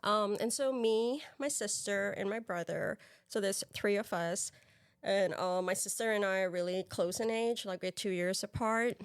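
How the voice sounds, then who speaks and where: A woman's voice: medium pitch, normal speed, clean tone, dry recording. A woman from Singapore chatting casually as a guest on a podcast. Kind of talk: casual chat.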